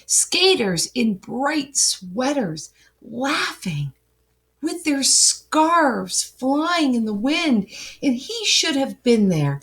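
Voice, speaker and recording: Slow, very expressive woman storyteller. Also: high voice. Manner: expressive, deliberate phrasing. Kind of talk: children's picture book read-aloud.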